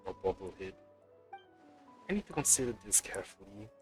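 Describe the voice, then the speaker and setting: A man's voice: on the low side, very animated, a little fast, a little mellow, faint music behind. A man streaming a video game with casual live commentary, thinking aloud to himself as he plays.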